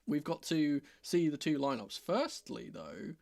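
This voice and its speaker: Slightly croaky man livestreaming. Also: fairly high pitch, animated, unhurried, darkish tone, no echo, studio quality. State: a bit croaky, under the weather. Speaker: football fan streamer hosting a watchalong. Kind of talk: casual livestream chat.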